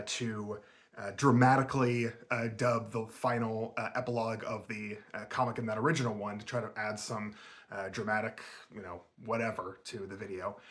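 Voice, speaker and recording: A man hosting his own channel, giving an off-the-cuff, halting and rambling talk to viewers. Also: fairly low pitch, a bit bright. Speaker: channel creator and host. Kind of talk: off-the-cuff thank-you talk to camera.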